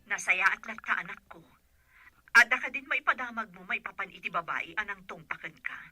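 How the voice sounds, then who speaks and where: A woman's voice: mid-range voice, brisk, pushed and bright, dry recording. An actress playing a caring, inquisitive mother in a radio drama, through a telephone filter. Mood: eager, fond. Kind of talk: Ilocano radio drama acting.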